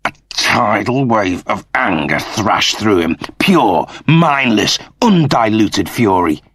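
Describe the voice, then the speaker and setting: Low-voiced man narrating a dramatic story. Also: sing-song, fairly bright tone, slightly rough, no room sound. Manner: measured, suspenseful storytelling. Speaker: audiobook narrator.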